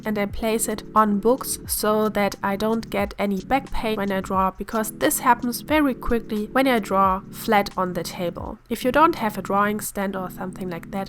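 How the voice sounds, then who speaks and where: A young woman with a hoarse voice from a cold, calmly explaining a painting tutorial step by step in a voice-over. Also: medium pitch. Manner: calm, step-by-step instructive. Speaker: art tutorial host. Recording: voice-over with faint music behind.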